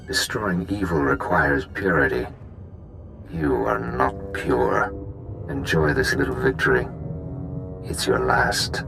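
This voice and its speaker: An actor playing a bitter, vengeful villain in a video game, speaking in measured, grandiose threats. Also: male voice, a bass voice, heavily acted. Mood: scornful. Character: menacing vengeful villain, bitter fallen master. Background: clear background music.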